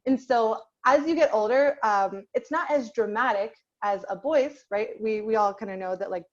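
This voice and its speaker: A fairly high-pitched young woman teaching singing over a video call, warm in her encouragement and chatty, with plenty of "um" and "like". The sound is dull and lo-fi, as if through a laptop connection. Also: normal speed. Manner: encouraging, chatty, many fillers. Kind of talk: online group singing class.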